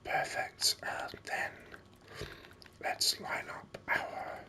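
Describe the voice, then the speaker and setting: A gaming host giving ASMR commentary in a breathy whisper, musing in an unhurried, hesitant way close to the microphone. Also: pushed and bright.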